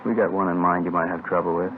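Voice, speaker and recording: A man playing a brisk, dry American police detective with clipped delivery in a 1950s radio drama, on a noisy old recording. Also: deep voice, fairly even intonation, phone-quality sound. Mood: firm, wry. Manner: clipped, matter-of-fact, wry.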